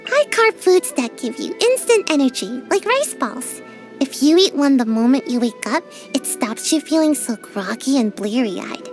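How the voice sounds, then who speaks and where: A woman's voice: high voice, big pitch swings, moderate pace, faint music behind. A woman voicing a tiny, sleepy young ninja girl in a game, drowsy and a little whiny, shy but grumpy. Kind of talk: game character voice lines.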